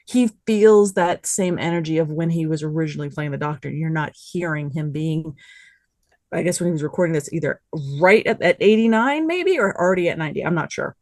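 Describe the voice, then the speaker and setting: A woman's voice: low voice, animated, brisk, a little mellow, no room sound, studio quality. A woman on a podcast panel, chatting casually in rambling, enthusiastic detail. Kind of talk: casual review chat.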